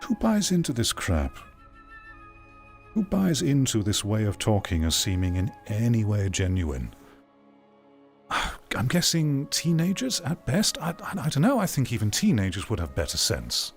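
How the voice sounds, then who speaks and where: A man's voice: on the low side, wildly swooping pitch, a little slow, faint music behind. A middle-aged man giving casual live commentary as a streamer, rambling in a sardonic, exasperated manner. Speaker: streamer reacting to a video.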